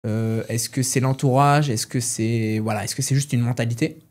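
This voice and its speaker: A man's voice: mid-range voice, steady tone, moderate pace, a little mellow. A man hosting a casual interview, chatty and piling one question onto the next. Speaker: podcast host, interviewer.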